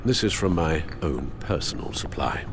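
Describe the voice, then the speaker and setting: A man acting a devoted older butler in a video game drama, gentle and weighed down by sorrow. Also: a bass voice, expressive, normal speed, gravelly, background noise, heavily acted. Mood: concerned.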